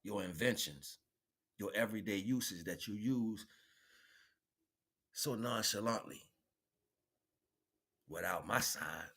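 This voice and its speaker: A man's voice: fairly low pitch, slow, gravelly, long pauses, bright, forceful tone, slightly rough. A man giving an improvised motivational monologue as a livestream host, preaching in colloquial phrasing with emphatic repetition.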